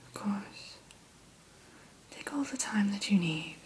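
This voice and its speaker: A woman in an ASMR roleplay who whispers gently and courteously as the keeper of a dragon hatchery. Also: medium pitch, expressive, slow pace.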